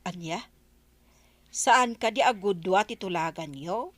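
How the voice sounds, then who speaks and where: A woman's voice: mid-range voice, takes it slowly, fairly bright tone, no echo. A woman playing an inquisitive, advice-giving village neighbour in a radio comedy, with a Filipino accent. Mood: admonishing. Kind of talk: Ilocano radio comedy drama acting.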